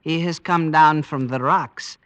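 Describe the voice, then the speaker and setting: Slow, solemn blind villager. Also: male voice, fairly high pitch, fairly bright tone, no echo. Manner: solemn, deliberate, stilted. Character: puzzled, disdainful blind male villager of isolated valley. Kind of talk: old-time radio drama acting.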